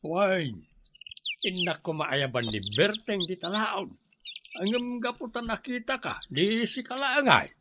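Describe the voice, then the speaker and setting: Man acting an older male neighbour, high voice, Ilocano accent, plain-spoken, radio drama, noisy birdsong background. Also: big pitch swings, a little slow. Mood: prodding.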